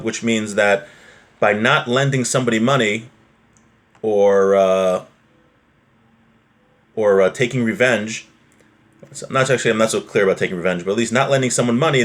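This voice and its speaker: A man's voice: lowish voice, moderate pace. A man giving an informal religious lesson, reading from a text and explaining it conversationally with everyday examples and check-in questions. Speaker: religious teacher.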